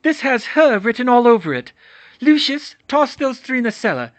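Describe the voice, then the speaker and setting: A man voicing a cruel, manic witch woman who hisses and shrieks in a frantic, shrill way. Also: big pitch swings, moderate pace, no room sound. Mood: furious.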